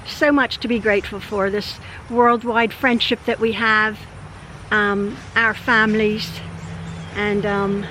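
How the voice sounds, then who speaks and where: An older woman recording a casual vlog in her backyard, speaking with a hoarse, tired voice as she rambles with many fillers and laughs. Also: level tone, slow, bright, forceful tone, slight background noise, rough recording. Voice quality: high voice. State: hoarse, tired after illness. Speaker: channel host. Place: outdoors in a backyard. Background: birdsong.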